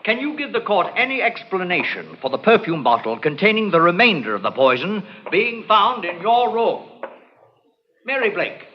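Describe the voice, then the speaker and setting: Accusing male prosecutor, high-pitched, formal and theatrical, vintage radio courtroom drama with dull sound. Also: slight background noise. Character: stern, accusing courtroom prosecutor, grown man. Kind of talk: 1940s radio drama acting. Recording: muffled old radio recording.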